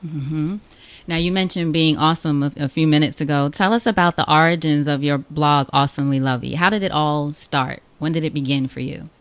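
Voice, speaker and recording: Woman, interview host, very low voice, dry close recording, affirming. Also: brisk, smooth, no room sound. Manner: warm affirming, frequent mm-hmms. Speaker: podcast host. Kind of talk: interviewing a guest.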